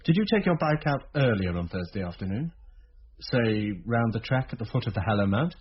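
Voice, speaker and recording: An actor playing an authority figure questioning someone in a radio drama, formal, controlled and probing. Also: male voice, lowish voice, lively intonation, fairly quick, slightly rough. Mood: probing, stern. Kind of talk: radio drama interrogation dialogue. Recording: dull, muffled radio recording.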